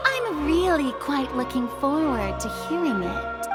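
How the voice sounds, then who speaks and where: A woman playing a showy, pompous young noblewoman in a video game, speaking in a dramatic, drawn-out way. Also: sits high, sing-song, over loud music. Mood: smug. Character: theatrical, haughty young noblewoman, showy, secretly flustered. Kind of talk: video game cutscene acting.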